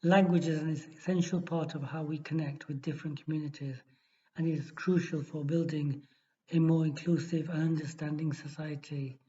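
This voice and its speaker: A man tutoring for an exam, reading a model essay aloud in a steady, measured way with small restarts. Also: on the high side. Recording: dull lo-fi recording.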